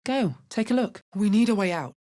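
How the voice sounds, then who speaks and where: An actress voicing a guarded, practical female adventurer in a video game, with a husky voice and dry, understated delivery. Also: mid-range voice, animated, brisk, mellow, natural voice. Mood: wry, focused. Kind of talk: video game character voice lines.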